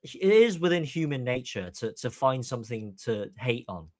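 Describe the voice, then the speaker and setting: A man's voice: medium pitch, sing-song, moderate pace, darkish tone, no echo, clean studio sound. A man from Hong Kong hosting a podcast, giving opinionated commentary in an emphatic, long-winded way. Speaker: podcast host.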